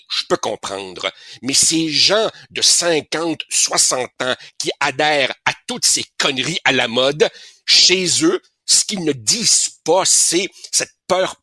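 A man in his sixties with a Québécois accent delivers opinionated commentary in a bright, forceful tone. His voice is slightly husky, and he often stumbles over repeated words before pressing his point. The sound is dry and close to the mic. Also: a little high, dry recording. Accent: Québécois French. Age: 60s. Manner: stammering repetitions, emphatic. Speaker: columnist.

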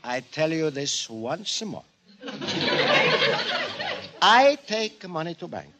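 Earnest, high-pitched Italian immigrant. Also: male voice, very animated, slow, exaggerated voice. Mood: patiently explaining. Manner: broken English, simple explaining phrases. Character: earnest, naive Italian immigrant man, patient but stubborn. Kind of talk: 1940s radio sitcom acting. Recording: noisy vintage 1940s radio recording.

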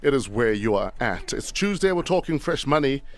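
A man's voice: medium pitch, big pitch swings, normal speed. A man hosting a breakfast radio show in the studio, upbeat and asking short, direct questions. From South Africa. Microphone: studio microphone.

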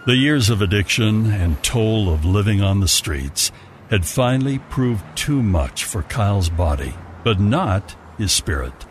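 A man's voice: fairly low pitch, animated, a little slow, a little hiss or hum. A man narrating a scripted story as a storyteller. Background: music.

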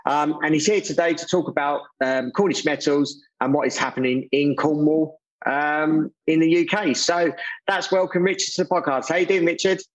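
A man hosting an interview podcast in an informal, somewhat halting, loosely worded way. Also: on the high side, fairly even intonation, lo-fi sound. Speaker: podcast host.

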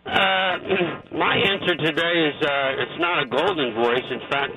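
A man's voice: on the high side, animated, a little slow, rough recording. A man from Northeast Philadelphia with a local accent, calling in to a talk radio show in a friendly, easygoing way. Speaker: caller. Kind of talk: call-in chat.

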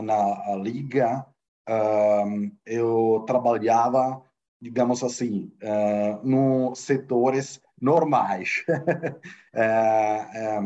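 Slow, halting Italian-accented man. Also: mid-range voice, mellow, dull audio. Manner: halting, many fillers and repeated words. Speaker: guest, football club finance director. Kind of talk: interview answers in a second language.

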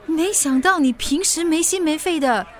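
A woman's voice: high-pitched, normal speed, lightly acted. An actress in a radio drama playing a stubborn, troubled woman, with a Singaporean accent. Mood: wistful, tipsy. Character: stubborn tipsy woman hiding her serious illness.

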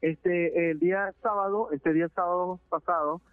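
Man, phone interview, halting and earnest, lots of fillers, tinny phone line. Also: high voice, steady tone, no room sound, faint music behind. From Venezuela. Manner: earnest, halting, many filler sounds and restarts. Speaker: interviewee, deported migrant. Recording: narrowband phone line, dry.

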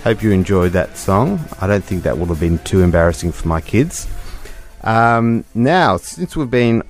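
A man's voice: lowish voice, expressive, normal speed, soft background music. A middle-aged man hosting a radio show, chatty, explaining things patiently with plenty of fillers. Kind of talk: casual radio chat.

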